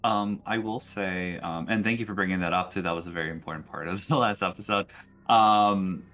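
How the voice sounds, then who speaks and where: A man narrating a tabletop game as game master, casual and halting, often rephrasing as he describes scenes. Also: low-pitched, a bit bright.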